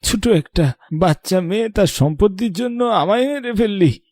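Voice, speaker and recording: A man's voice: high-pitched, lively intonation, moderate pace, darkish tone, dry recording, clean studio sound. A man playing an indignant old grandfather in a Bengali horror cartoon, scolding and reproachful. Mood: bitter, vengeful. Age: older. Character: indignant old grandfather, murdered, returns as vengeful ghost.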